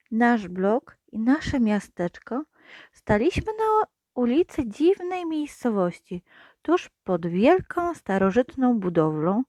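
A woman reads a children's story slowly, her pitch rising and falling for effect. Her tone is mellow and dark. The recording is dry and close. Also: dry recording. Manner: slow, expressive reading with lively pitch turns. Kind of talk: children's audio story narration.

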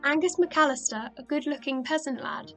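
A woman's voice: high voice, normal speed, a bit bright, soft background music. A woman reading scripted narration for a radio play, announcing credits and stage directions.

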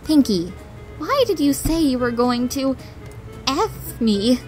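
A woman plays a sensible young mare from a cartoon fan story, bewildered and exasperated. Her voice is very high and drawn out, with lively swings, over clear music. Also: slow pace, exaggerated voice. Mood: baffled. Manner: questioning, exasperated. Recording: music behind the voice.

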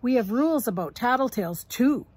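Woman putting on an excitable high boy bear cub. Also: a little fast, slightly rough, dry recording. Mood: protesting. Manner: exclamatory, childlike.

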